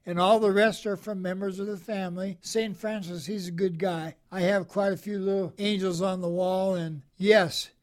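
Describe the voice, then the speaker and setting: A man in his eighties, a veteran being interviewed, who talks in a cheerful, soft-spoken, gentle way and chuckles. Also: sits high, fairly even intonation, normal speed, hardly any pauses. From American Midwest. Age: 80s. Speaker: Korean War veteran guest. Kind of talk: interview answers.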